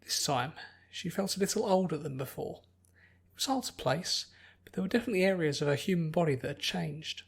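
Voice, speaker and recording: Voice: mid-range voice, a little fast, gravelly, husky. A narrator reading scripted fiction as an audiobook.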